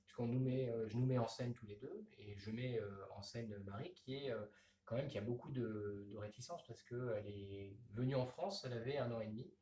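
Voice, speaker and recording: A man, a comics author, talking in a radio interview with many pauses and restarts. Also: medium pitch, warm tone, reverberant, distant mic. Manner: hesitant, many fillers and restarts.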